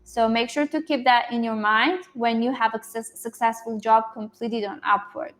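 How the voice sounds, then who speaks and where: Casting: female online coach, fairly high voice, encouraging and informal, leading a group video call. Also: fairly even intonation, moderate pace, a bit bright. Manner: encouraging, affirming.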